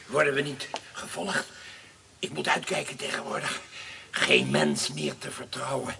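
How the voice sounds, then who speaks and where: A man's voice: mid-range voice, sing-song, unhurried, gravelly, sharp tone, a little hiss or hum, exaggerated voice. An actor playing a lecherous, drunken conjurer and swindler who stammers and repeats his words in a Dutch radio play. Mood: eager, uneasy.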